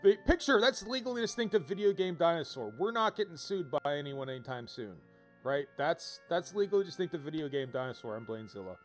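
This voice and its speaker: A man with a slightly high voice chats casually as he streams a game. He rambles, drops wry, playful asides and reads game text aloud, while soft game music plays behind him. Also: sing-song, fairly quick. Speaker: game livestreamer.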